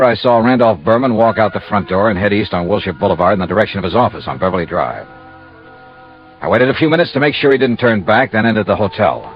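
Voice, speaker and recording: An actor playing a tough, smooth-talking American insurance investigator and narrator in a 1950s radio noir, wry and coolly insistent. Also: male voice, fairly low pitch, close to an everyday voice. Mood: wry narration. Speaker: lead detective and narrator. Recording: dull, band-limited old radio recording.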